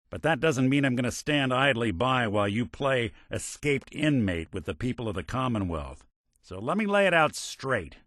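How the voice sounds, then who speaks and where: Weary american robot detective from a video game, low dry-studio voice, hard-boiled wry old-time talk. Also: male voice, fairly bright tone, no room sound. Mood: stern, disappointed. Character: world-weary, principled robot detective with a dead cop's memories. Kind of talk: video game character dialogue.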